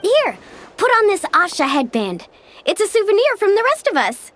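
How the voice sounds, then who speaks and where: A woman voicing an upbeat, caring young tour guide in a video game, chatty and animated. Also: extremely high pitch, moderate pace, exaggerated voice. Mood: cheerful. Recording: background music.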